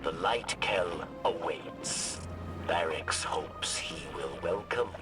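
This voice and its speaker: An actor voicing a wary old alien insectoid scribe in a video game, gravelly and hissing, with halting, broken phrasing. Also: male voice, sits low, distant mic, over loud music. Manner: halting, broken phrasing, speaks of himself in third person. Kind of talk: dramatic video game acting.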